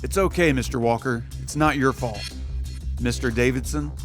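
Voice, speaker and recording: A man's voice: medium pitch, moderate pace, soft background music, close to an everyday voice. An actor playing a composed, commanding wealthy young father and corporate boss, cool and authoritative, in an audio drama.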